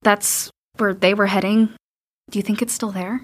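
A woman's voice: medium pitch, fairly even intonation, normal speed, close to an everyday voice. A woman playing a tough young daughter. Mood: curious. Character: teenage daughter, tough but jumpy. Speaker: daughter character Sarah.

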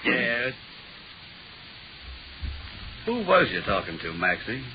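A man's voice: mid-range voice, sing-song, moderate pace, soft background music, lightly acted. A man with an American accent playing a sardonic, bullying lawman who taunts his prisoner, in 1940s radio drama style. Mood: mocking, sneering. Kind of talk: 1940s radio horror acting. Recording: muffled 1940s radio recording, faint noise.

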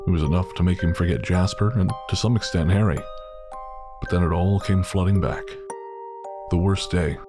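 A man with a very deep voice narrating an audio drama, measured and somber. Clear music plays behind him throughout.

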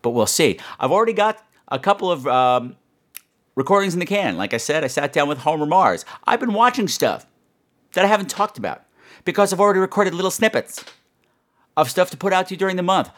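Animated, higher-pitched man hosting a podcast. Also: a little fast. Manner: campy, playful, self-mocking, emphatic. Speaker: podcast host. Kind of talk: chatty horror podcast monologue to listeners.

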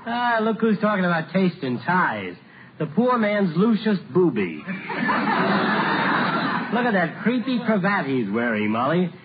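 Hearty, sardonic doctor, lively pitch. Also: male voice, a little slow, long pauses, crowd noise. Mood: mocking. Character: jovial, insulting male doctor, radio comedy. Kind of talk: 1940s radio comedy acting. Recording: dull, very noisy old radio recording.

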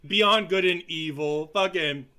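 A man's voice: a little high, a little slow, bright, forceful tone. A man co-hosting a casual film podcast, blunt and opinionated, swearing casually as he talks. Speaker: podcast co-host.

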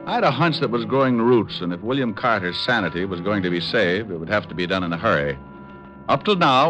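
An actor playing a suave, quick-witted private detective, with dry teasing delivery in 1950s radio noir style. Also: male voice, lowish voice, dull audio. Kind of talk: 1950s radio drama, noir narration and banter. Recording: old radio broadcast recording.

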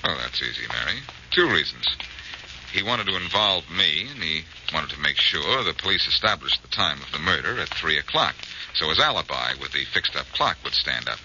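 An actor playing a confident, sharp-witted amateur detective in a 1940s radio drama, brisk and wry as he thinks aloud, on a muffled old recording. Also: rumbling low, very animated, background noise. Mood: relaxed, explanatory.

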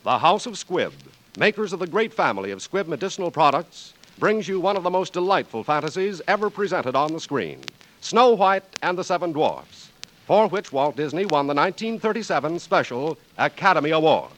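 A man's voice: on the high side, sing-song, fairly quick, a bit bright, rough recording. A man announcing for a sponsor in a formal, stately, grandiloquent 1940s radio style. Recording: old radio, music behind.

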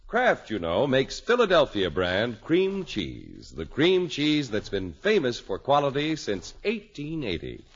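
Swooping, husky 1950s radio announcer man. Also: medium pitch, wildly swooping pitch, measured pace, hardly any pauses. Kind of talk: scripted 1950s radio announcing and sponsor advertisement. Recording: muffled vintage radio recording with music.

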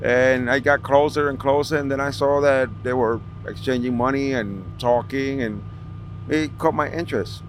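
A middle-aged man from New City, reminiscing casually and haltingly as a podcast guest. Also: mid-range voice, steady tone, faint music behind. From New York City, Washington Heights. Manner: halting, repeats words. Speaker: podcast guest, veteran street racer. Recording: clear background noise of racing event. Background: vehicle and event noise.

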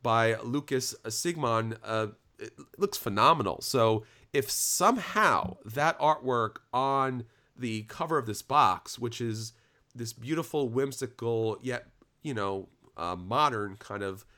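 A man's voice: mid-range voice, animated, unhurried, bright, forceful tone. A man co-hosting a podcast, talking in a casual, chatty and enthusiastic way with frequent restarts. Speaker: podcast co-host. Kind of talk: casual chat.

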